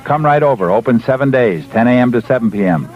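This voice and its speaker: A man's voice: mid-range voice, animated, fast. A man reading a seventies radio retail commercial as an announcer, insistent and repetitive. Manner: insistent, repetitive hard sell. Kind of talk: 1970s radio retail ad read. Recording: dull vintage radio broadcast.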